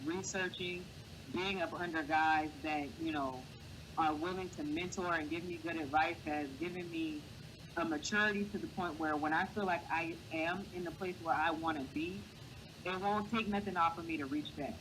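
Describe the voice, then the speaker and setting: A man's voice: steady tone, normal speed. A man with a light, boyish voice, speaking earnestly as a guest in a casual interview and giving encouraging advice. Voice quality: high, boyish voice. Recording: clear background noise.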